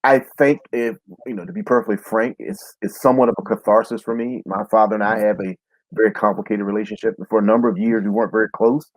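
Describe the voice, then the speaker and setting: Low-voiced man, dry close sound. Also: steady tone, fast, no room sound, rough recording. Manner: candid, reflective. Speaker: author guest. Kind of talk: panel discussion.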